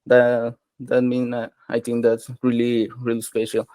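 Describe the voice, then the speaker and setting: A man's voice: mid-range voice, monotone, a little slow, mellow, smooth, no room sound. A man whose first language is Spanish, hosting an interview and asking questions hesitantly, searching for words. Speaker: interviewer, host.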